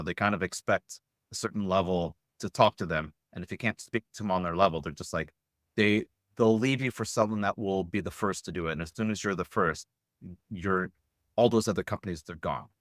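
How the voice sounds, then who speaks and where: Low-voiced man interviewing casually, dry sound. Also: moderate pace, a bit bright, no room sound. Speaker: podcast interviewer.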